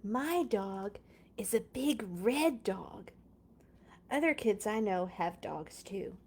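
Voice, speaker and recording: A woman reading a children's picture book aloud in an expressive, playful way, with chatty casual asides. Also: mid-range voice, takes it slowly, a little hiss or hum.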